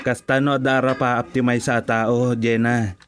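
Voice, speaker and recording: A man's voice: medium pitch, level tone, takes it slowly, slight background noise, lightly acted. A man playing an earnest, lovelorn farmer in a radio drama, speaking in a hesitant, sincere way. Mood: friendly. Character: Jomel, earnest, lovelorn organic farmer building a house. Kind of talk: Ilocano radio drama acting.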